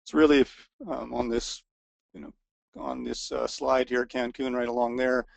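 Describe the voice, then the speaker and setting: A man with a gravelly, mid-pitched voice talks through a webinar presentation, stumbling over restarts and fillers as his voice wears thin. The sound is dry and close, picked up by a computer microphone with no room around it. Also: level tone, moderate pace, no echo. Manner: hesitant, many restarts and fillers, self-deprecating. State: voice wearing out. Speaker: resort co-owner presenting a webinar. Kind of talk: informal sales presentation.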